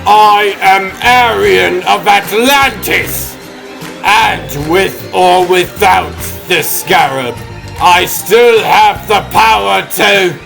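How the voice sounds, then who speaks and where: Villain sorcerer, male: imperious and menacing, very drawn-out pompous lines, music clearly underneath. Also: high-pitched, a bit bright, exaggerated voice. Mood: bitter, threatening. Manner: pompous, grandiose, drawn-out commanding delivery. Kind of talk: dramatic comic dub acting. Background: dramatic music.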